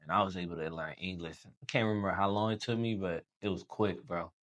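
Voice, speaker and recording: A young man from Haiti, a Creole speaker, telling his story as a podcast guest in relaxed slangy chat. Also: deep voice, fairly quick, muffled recording. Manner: relaxed storytelling, slangy, says bro often. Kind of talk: casual chat.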